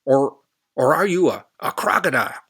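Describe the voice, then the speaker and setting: A man voicing a loud, boastful and rude female frog from a storybook, drawing out his words slowly. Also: medium pitch, sing-song, slightly rough, voice pushed up, exaggerated voice. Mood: excited. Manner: slow, drawn-out, shouted words. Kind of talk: storybook character voice.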